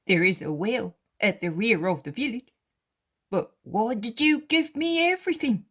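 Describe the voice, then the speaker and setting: Fields: woman voicing a pleading male beggar. Pitch: high. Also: lively intonation, moderate pace, fairly bright tone. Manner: earnest, pleading. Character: poor humbled male beggar, pleading and repentant.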